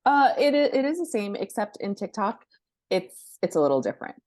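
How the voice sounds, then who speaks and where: A woman's voice: mid-range voice, very animated, a little fast, darkish tone, no room sound, clean studio sound. A woman speaking as a guest expert in an interview, explaining things informally with practical examples. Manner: conversational, gives practical examples and step-by-step advice. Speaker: guest expert, book publishing professional and influencer. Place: indoors by a window, at a laptop.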